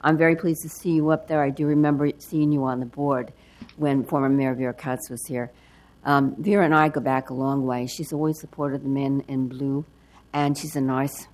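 An older woman with a hoarse, froggy voice giving rambling public comment at a council hearing. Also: very deep voice. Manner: rambling, chatty. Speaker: public testifier.

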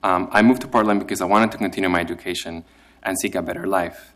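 Deep-voiced young man from Chile, nervous. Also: fairly even intonation, fast talker, fairly bright tone. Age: young adult. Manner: nervous, careful, reads from a prepared statement. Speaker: immigrant program participant giving testimony. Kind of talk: reading prepared testimony.

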